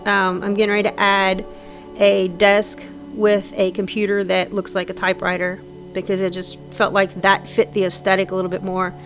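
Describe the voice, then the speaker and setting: A woman's voice: mid-range voice, completely flat delivery, moderate pace, fairly bright tone, soft background music. A woman with a slightly scratchy voice from sinus trouble, chatting casually and rambling with frequent ums and restarts over her game build. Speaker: Sims builder narrating a speed build.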